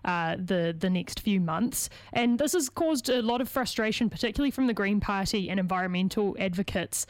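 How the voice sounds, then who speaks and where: Husky-voiced New woman interviewer. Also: mid-range voice, lively intonation, fairly quick, hardly any pauses, no echo. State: losing her voice. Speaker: radio interviewer. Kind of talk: radio interview questions.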